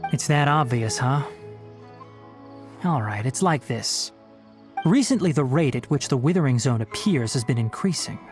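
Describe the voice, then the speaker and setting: A man plays a young fox-eared forest ranger in an anime game. He is mid-pitched, measured and articulate, earnest and a little stern as he explains, over soft music. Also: lively intonation, close to an everyday voice. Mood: grave, explanatory. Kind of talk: anime-style video game voice acting.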